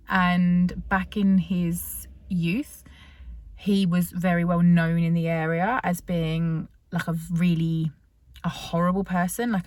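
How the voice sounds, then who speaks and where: A British woman talks straight to her viewers in a relaxed, rambling way, stopping and restarting her sentences often. Her voice sits a little low. The sound is dry and close, with no room around it. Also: no echo. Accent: British English. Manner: chatty, many fillers and restarts. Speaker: vlogger. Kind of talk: casual storytelling to camera.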